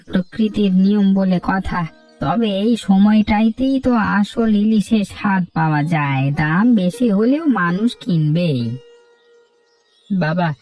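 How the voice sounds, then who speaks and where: A woman's voice: medium pitch, a little mellow, natural voice. A woman playing practical, chatty village market folk in a Bengali cartoon story. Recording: slightly distant, music behind.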